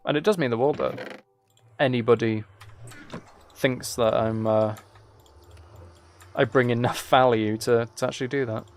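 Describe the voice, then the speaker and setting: A man's voice: medium pitch, steady tone, measured pace, long pauses, slight background noise. A man chatting casually as he streams a game, rambling along in a self-deprecating way. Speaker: live streamer. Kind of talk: casual gaming livestream chat, commentary.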